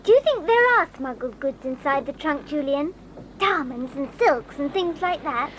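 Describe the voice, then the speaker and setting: Excitable little girl, super high squeaky voice, bit of hiss. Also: normal speed. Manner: breathless, eager, a little fussy. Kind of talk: 1980s audio drama acting. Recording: faint hiss of old cassette.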